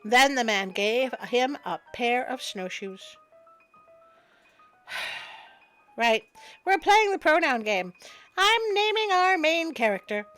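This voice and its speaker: A woman's voice: sits high, very animated, slow pace, pauses often, fairly bright tone, faint music behind. A woman storyteller narrating a folktale expressively, with wry spoken asides. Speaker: storyteller, host.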